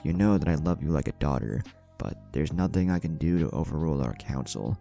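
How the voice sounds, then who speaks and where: Man voicing a deep, earnest ninja village leader. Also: animated, a little fast, gravelly, warm tone, faint music behind, exaggerated voice. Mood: regretful. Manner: earnest, formal, explanatory. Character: apologetic, reasoning male ninja village leader.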